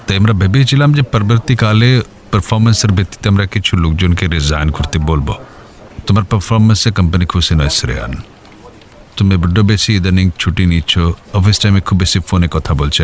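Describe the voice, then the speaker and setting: A man playing a firm, formal HR manager in an audio drama, speaking in a measured, official way. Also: sits low, natural voice. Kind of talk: audio story acting. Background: background music.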